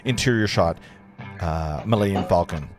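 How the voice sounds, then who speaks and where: A man with a lowish voice narrates scene directions at an informal script reading. He reads plainly and sometimes trips over words and fixes them. Guitar music plays clearly behind him. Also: moderate pace. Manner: stumbles over words and corrects himself.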